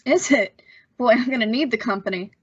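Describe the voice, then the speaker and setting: A woman's voice: fairly high pitch, brisk, husky, dry recording. A woman acting an awkward, apologetic young man in an old-time radio suspense play, stammering and using folksy slang. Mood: apologetic, relieved. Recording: slightly dull, muffled audio.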